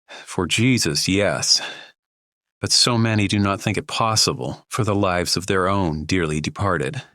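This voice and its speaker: An actor playing a protective, loyal husband and friend in an audio drama, speaking with earnest concern. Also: male voice, low voice, measured pace, bright, forceful tone, no room sound.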